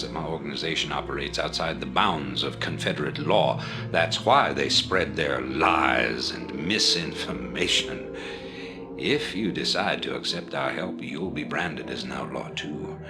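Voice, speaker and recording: A very deep, persuasive rebel leader. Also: male voice. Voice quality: very deep, measured. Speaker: rebel leader speaking over a comm link. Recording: voice over loud game music.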